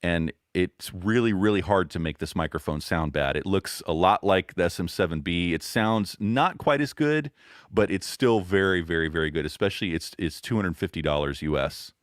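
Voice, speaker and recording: A man with a boomy voice, a podcast guest explaining microphones and audio settings in a relaxed, casual interview chat. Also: normal speed, no room sound, studio quality. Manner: relaxed, explains gear step by step with fillers.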